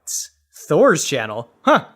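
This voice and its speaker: A man's voice: fairly high pitch, huge pitch swings, slow, a bit bright. A man moderating a comedy podcast with casual banter.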